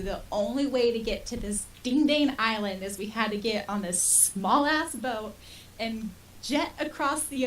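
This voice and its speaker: A woman in her thirties chatting casually as a podcast host, telling personal stories in a colloquial, self-deprecating way. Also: a little high. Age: 30s. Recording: distant mic with faint hiss.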